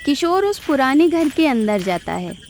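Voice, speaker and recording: A woman's voice: sits high, sing-song, a little slow, a little mellow, slight background noise. A woman narrating a scripted moral cartoon story as its storyteller.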